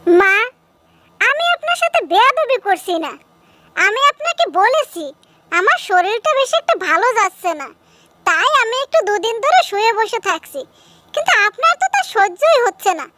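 Woman acting a high, defiant young wife. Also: very animated, normal speed, bright, forceful tone, no room sound, heavily acted. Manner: complaining, answering back. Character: aggrieved, defiant young daughter-in-law. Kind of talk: cartoon dub acting.

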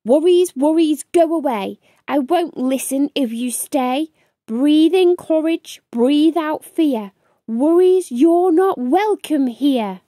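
Woman voicing an anxious young beaver boy, high-pitched. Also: slow pace, no room sound, clean studio sound. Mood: determined chanting. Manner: expressive, chanting rhymes and fretting. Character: anxious young male beaver, talking himself into courage. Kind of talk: children's storybook read-aloud.

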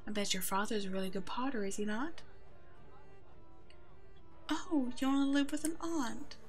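A woman playing a kind, protective werewolf in an ASMR roleplay drama, speaking gently and reassuringly as if to a child. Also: fairly high pitch, steady tone, moderate pace, soft background music, close to an everyday voice. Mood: warm, gentle. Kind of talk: ASMR roleplay audio drama, one-sided dialogue.

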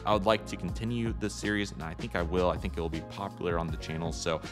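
A man's voice: low voice, fast talker. An American man hosting a hobby channel, giving an upbeat, conversational voice-over in a casual vlog style. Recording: music behind the voice.

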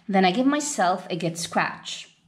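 A woman reading a children's picture book aloud in an expressive storytelling style. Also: mid-range voice, a little slow. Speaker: storybook reader.

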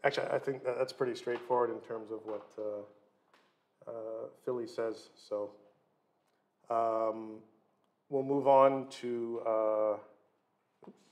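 Middle-aged man, even-toned informal lecturer. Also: mid-range voice, slow, pauses often. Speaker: guest lecturer, record label co-owner. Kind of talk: informal lecture, introducing speakers. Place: wood-panelled lecture hall, seated at desk.

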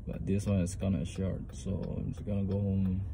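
Filipino man, thirties, low-pitched, relaxed car vlogger over road noise. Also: moderate pace. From Philippines. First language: Tagalog. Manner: rambling, relaxed, loose informal English. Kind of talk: casual vlog chat. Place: inside a car. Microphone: phone camera on the dashboard.

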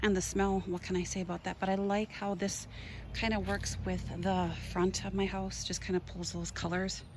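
A woman's voice: medium pitch, little pitch movement, normal speed, darkish tone, slight background noise. Chatty woman touring her garden outdoors. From Minnesota. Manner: enthusiastic, chatty, pointing things out. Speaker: gardening vlogger. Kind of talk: casual garden tour.

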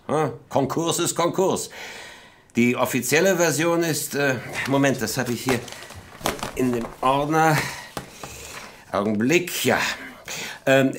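A man's voice: medium pitch, lightly acted. Fumbling, eager investigator played by a man. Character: fumbling but eager male task force investigator. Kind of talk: German audio drama dialogue.

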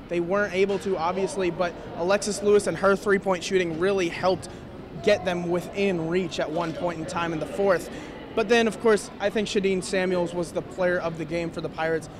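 A young man giving an earnest, detailed postgame basketball analysis courtside, speaking into a handheld mic. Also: sits high. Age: young adult. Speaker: student sports analyst. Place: courtside in a large indoor arena.

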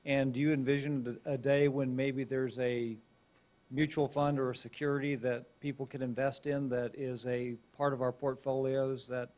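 A man puts a spontaneous question at a talk, phrasing it haltingly. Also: medium pitch, flat delivery, darkish tone. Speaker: audience member asking a question. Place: university lecture hall, indoors.

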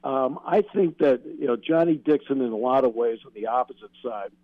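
An American man analyzes players as a guest on sports radio. His mid-pitched voice explains with emphasis. The sound is dry and band-limited, like a call-in line. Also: brisk, no echo. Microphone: remote call-in connection. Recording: narrow, dull phone-like call-in line.

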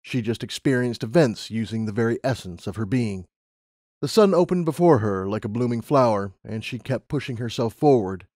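A man's voice: medium pitch, animated, moderate pace, darkish tone, no room sound, studio quality. A man reading a story as a scripted audiobook narration.

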